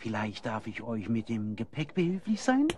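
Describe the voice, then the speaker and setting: An actor playing an obliging, boastful small-town innkeeper in a radio play, courteous and deferential in manner. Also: male voice, on the low side, sing-song, moderate pace, a little mellow.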